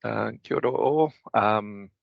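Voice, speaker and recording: A man's voice: on the low side, a little slow, gravelly, dry recording, highs cut off. A middle-aged Australian man with an Australian accent introducing himself in an online meeting, hesitant with many ums and restarts. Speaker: urgent care general manager. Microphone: video call.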